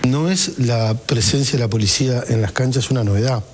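A man giving a formal, deliberate official statement. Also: mid-range voice, music in the background.

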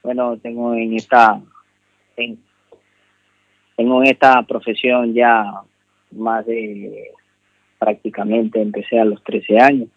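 A man in his thirties with a Venezuelan accent, a polite radio guest who speaks haltingly and repeats himself, on dull phone-in audio. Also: mid-range voice, monotone, vocal fry, no room sound. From Maracaibo, Venezuela. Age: 30s. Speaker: jockey, interview guest. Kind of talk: conversational radio interview.

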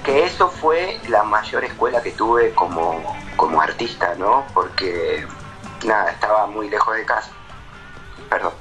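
An Argentine man in his thirties, a painter answering interview questions casually, with hesitant pauses and restarts. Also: fairly low pitch, animated, sharp tone, faint music behind. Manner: hesitant, many fillers and restarts.

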